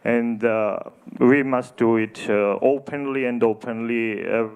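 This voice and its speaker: Earnest Georgian man with a smooth voice. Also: medium pitch, steady tone, measured pace, darkish tone, lo-fi sound. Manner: hesitant, many fillers, earnest. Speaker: theater director. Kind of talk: halting panel answers in English. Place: festival discussion hall.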